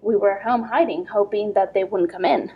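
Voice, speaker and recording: A woman in her thirties from Ecuador, a podcast guest telling a story in casual chat, lively and full of fillers. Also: medium pitch, normal speed, breathy edge, highs cut off. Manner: lively, animated retelling with many fillers.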